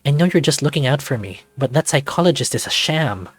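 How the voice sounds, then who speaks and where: A man's voice: mid-range voice, animated, fairly quick, slightly rough, faint music behind. A man playing a troubled, guarded, sardonic man in a visual novel, with dry, brooding delivery. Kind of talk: visual novel character acting.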